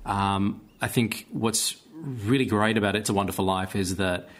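Australian man, slightly deep voice, casual interviewee who picks words carefully and haltingly. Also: a little hiss or hum. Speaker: theatre-maker, interview guest.

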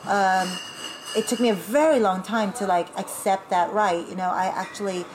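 A woman from Taiwan who grew up speaking Taiwanese, talking as a guest in a casual interview, animated and chatty with laughing asides. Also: medium pitch, normal speed, background noise. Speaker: guest, burlesque performer.

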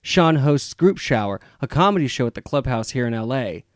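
A man's voice: medium pitch, lively intonation, a little fast. A man hosting a podcast, chatting casually and laughing easily, recorded at home. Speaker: podcast host. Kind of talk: casual chat.

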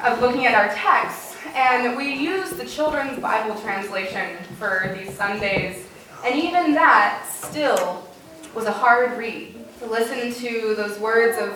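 A woman's voice: fairly high pitch, slow, sharp tone. A woman pastor speaking to a congregation in simple, encouraging language, as in a children's message and sermon. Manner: encouraging, simple questions and repeating answers back. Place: indoors, large reverberant church. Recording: very echoey church, distant mic, faint noise.

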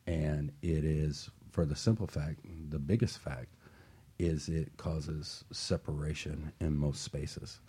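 Very deep-voiced male talk radio host. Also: fairly even intonation, slow, warm tone, slightly rough.

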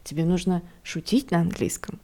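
A woman's voice: fairly low pitch, normal speed, gravelly. A woman who runs a studio, talking casually in an interview, with loose restarts and frequent laughter. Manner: lively, laughs often, talks in loose restarts. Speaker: head of a visual effects studio.

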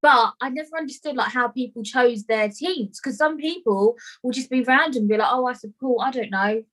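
A woman's voice: on the high side, normal speed, fairly bright tone, poor audio. A woman from South London hosting a casual interview, playful, candid and self-deprecating. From South London, England. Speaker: interviewer, host.

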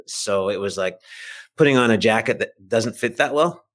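A man's voice: fairly low pitch, normal speed. A middle-aged or older man chatting as a podcast guest, telling anecdotes in an informal, self-deprecating way. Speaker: podcast guest, fiction writer. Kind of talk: casual anecdotal chat.

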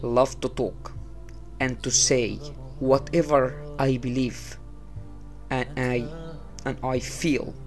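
Slow-spoken Egyptian man with faint music. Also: medium pitch, flat delivery. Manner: unhurried, repeats phrases, non-native English. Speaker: podcast host. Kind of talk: motivational religious talk. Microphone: small low-cost podcast setup.

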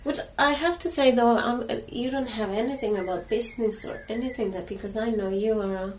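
Gentle woman, hesitant and questioning. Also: fairly high pitch, brisk. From Iceland. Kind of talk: casual chat. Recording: distant mic with faint background noise.

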